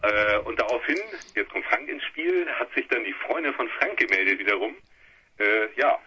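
A man from Hamburg with a rough, husky voice, chatting casually as a band's guitarist on the phone. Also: fairly low pitch, expressive, moderate pace. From Hamburg, Germany. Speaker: guitarist and band leader. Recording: muffled telephone interview on radio.

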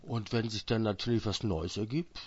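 A man's voice: on the low side, fairly even intonation, normal speed, darkish tone, husky, dry recording. Man hosting casual radio, dry dull sound. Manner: colloquial, chummy, slangy. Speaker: radio host, interviewer. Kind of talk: casual phone interview.

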